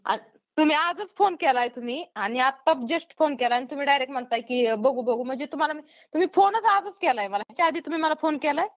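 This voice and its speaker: A woman's voice: high voice, fast. A woman with a Maharashtrian accent speaking bluntly and full of questions in a casual phone conversation. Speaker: YouTuber receiving a prank call. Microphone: phone call. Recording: dull, lo-fi phone call recording.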